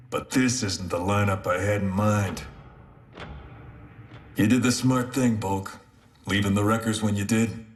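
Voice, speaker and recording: A man voicing a cocky, battle-hardened alien robot warrior in an animated action series, wry, swaggering and laconic, with a brash wisecracking streak. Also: on the low side, a little hiss or hum. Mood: serious, reflective. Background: music.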